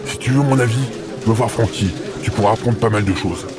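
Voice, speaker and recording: A man's voice: fairly low pitch, brisk, loud music behind the voice, lightly acted. A man playing a frank, world-wise police contact confiding in an old pal in a French audio drama.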